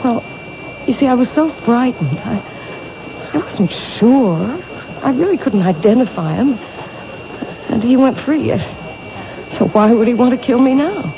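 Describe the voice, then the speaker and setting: An actress playing a frightened female witness who speaks softly and falteringly in a 1970s radio drama. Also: fairly high pitch, lively intonation, measured pace, pauses often. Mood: timid. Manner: soft, faltering, hesitant. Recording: dull lo-fi vintage radio broadcast with hiss.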